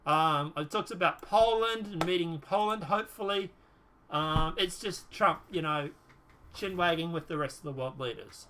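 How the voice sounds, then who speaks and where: A man's voice: fairly high pitch, moderate pace, sharp tone, a little hiss or hum. A man giving casual political commentary while reading from a document, dismissively trailing off with repeated filler.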